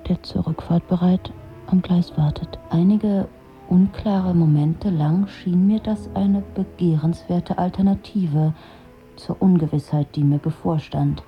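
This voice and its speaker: A world-weary middle-aged woman tells her story in a radio play. Her voice is very low, dry and brooding, with a sardonic edge. The sound is slightly dull, with faint music behind her. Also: unhurried. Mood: brooding, reflective. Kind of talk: literary radio drama monologue.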